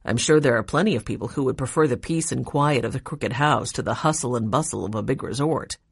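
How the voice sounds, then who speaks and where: A woman voicing a kindly, genial old grandfather in a children's audiobook, with a measured manner. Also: a bass voice, vocal fry, husky, dry recording, studio quality, full character voice.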